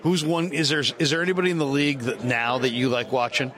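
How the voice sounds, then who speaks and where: A man's voice: medium pitch, fairly even intonation, fast talker. An American man working as a sports reporter, asking questions in a conversational locker-room interview. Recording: background chatter of busy locker room. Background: crowd chatter.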